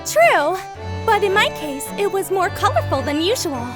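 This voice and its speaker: A woman voicing a bubbly, sweet, excitable young girl in a fan audio drama. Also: very high-pitched, normal speed, music in the background. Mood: curious, bubbly. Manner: gushing, excitable, exclamatory. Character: bubbly, sweet, energetic young girl who loves colors.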